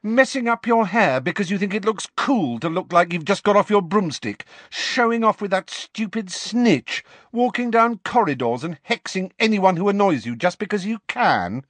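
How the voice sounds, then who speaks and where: A man's voice: fairly high pitch, wildly swooping pitch, slow, few pauses, a bit bright, no room sound, voice pushed up, full character voice. A man voicing a furious, scornful teenage schoolgirl in an emphatic, scolding manner for a storybook.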